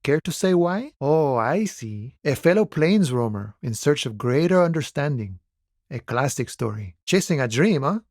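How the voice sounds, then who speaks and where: An actor playing an easygoing, philosophical dock worker with cowboy airs in a video game, musing in an unhurried, folksy way. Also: male voice, medium pitch, sing-song, darkish tone, dry recording, studio quality. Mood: musing, relaxed. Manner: unhurried, folksy musing, calls people friend and boya. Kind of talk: video game character dialogue.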